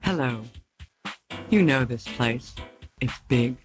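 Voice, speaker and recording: Male announcer, slow and measured, ad read over guitar music. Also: mid-range voice, animated. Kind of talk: scripted commercial voice-over. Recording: archival ad with music bed.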